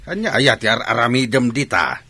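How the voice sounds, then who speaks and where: A man with an Ilocano accent playing a patient older fisherman father in a radio drama, with a gruff, husky voice. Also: medium pitch, very animated, moderate pace, a crowd in the background. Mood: concerned.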